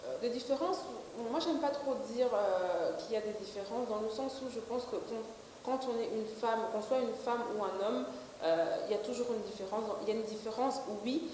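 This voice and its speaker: A woman's voice: on the high side, moderate pace, a little mellow. Woman, twenties, company director; hesitant interview answers; roomy reverb. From Belgium. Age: 20s. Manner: hesitant, many fillers and restarts. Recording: echoey room with faint hiss.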